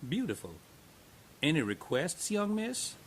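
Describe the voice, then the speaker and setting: An actor playing a kindly man visiting a patient, gentle and reassuring in his speech. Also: fairly high pitch, huge pitch swings, measured pace, slight background noise. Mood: warm.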